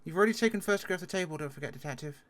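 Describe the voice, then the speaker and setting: A person with a man's voice playing a brisk, protective public defender in a game roleplay, cutting in with clipped remarks. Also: fairly high pitch, expressive, fairly bright tone. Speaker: role-player in an online game. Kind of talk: improvised roleplay interrogation.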